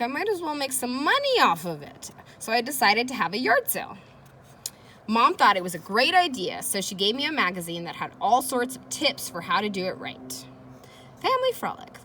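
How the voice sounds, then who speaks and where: A woman reads a children's chapter book aloud with lively, swinging intonation. She addresses young listeners directly in a chatty, engaging manner. Her voice sits at a middling pitch, with faint noise behind it. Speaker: read-aloud host.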